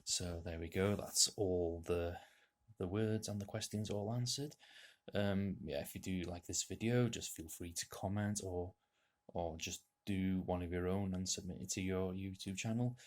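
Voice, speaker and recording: A man's voice: deep voice, steady tone, moderate pace. A young man from North Wales, a native Welsh speaker with a North Welsh accent, answering accent tag questions at home in a hesitant way, with many ums while he reads off a laptop. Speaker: YouTuber.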